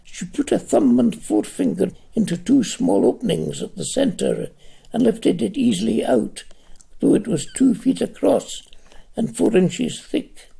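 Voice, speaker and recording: Older man, author reading his novel, very animated pitch, dark mellow voice. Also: creaky, husky. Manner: slow, dramatic reading with wide swings of pitch. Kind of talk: literary reading. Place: at home.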